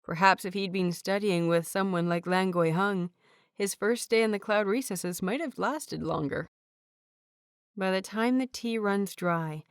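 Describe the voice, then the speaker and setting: A woman narrating a fanfiction audiobook in a steady storytelling voice. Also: medium pitch, smooth, dry recording, studio quality.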